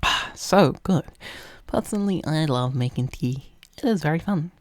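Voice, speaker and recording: Lilting, soft-spoken young British man. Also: fairly high pitch, lively intonation, moderate pace, no room sound. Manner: playful, coaxing, often drops into a breathy whisper. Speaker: ASMR roleplay host. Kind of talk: soft ASMR roleplay chat, casual and instructional.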